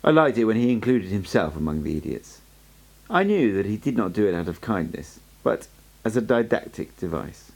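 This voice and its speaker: A man reading an audiobook aloud as an amateur narrator, in a steady storytelling way. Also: on the low side, normal speed. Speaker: audiobook reader.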